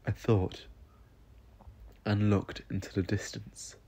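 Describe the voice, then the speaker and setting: A man narrating a scripted first-person story solemnly, with dramatic pauses. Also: fairly low pitch, flat delivery, warm tone, slightly rough.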